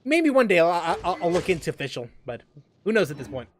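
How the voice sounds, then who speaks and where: A man hosting a casual gaming livestream, chatting in a halting way and often repeating and restarting his phrases. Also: sits high, big pitch swings, noisy background. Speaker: livestream host, gamer.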